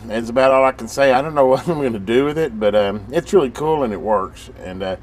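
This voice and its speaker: A man's voice: mid-range voice, brisk. An American man chatting casually and hands-on as a radio hobbyist, in a folksy, rambling way as he thinks aloud. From United States. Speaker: radio hobbyist presenting on his channel. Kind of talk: casual hands-on video chat. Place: workbench with radio gear. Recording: steady background noise.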